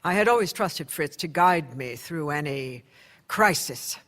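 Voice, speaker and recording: A middle-aged woman publisher in a radio drama. She narrates in a clear-edged bright voice, reflective and candid, with poise and quiet resolve. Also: sits low, lively intonation, a little slow. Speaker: narrator and newspaper publisher character.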